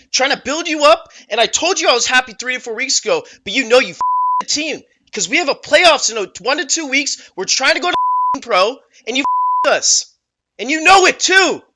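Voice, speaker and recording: A man's voice: very high-pitched, lively intonation, normal speed, pushed and bright, a little hiss or hum. A man talking heatedly and emotionally to his brother in a played clip, with bleeped swearing. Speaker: pro gamer in a clip. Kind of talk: emotional vlog rant.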